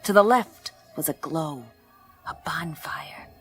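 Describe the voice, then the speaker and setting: A woman's voice: low-pitched, expressive, slow pace, fairly bright tone, full character voice. Female noir gumshoe for sci-fi radio play: tough, sardonic, streetwise, scored with music. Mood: wry narrating. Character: wisecracking tough female private eye in space. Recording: music under the voice.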